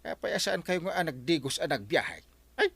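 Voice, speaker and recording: A man's voice: fairly high pitch, very animated, measured pace, vocal fry, a bit bright, slightly rough, dry recording, exaggerated voice. Man playing a blustering, wildly swooping Ilocano husband. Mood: exasperated. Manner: blustering, stammering exclamations. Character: grumbling, cheeky husband who shirks chores. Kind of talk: comic regional audio drama acting.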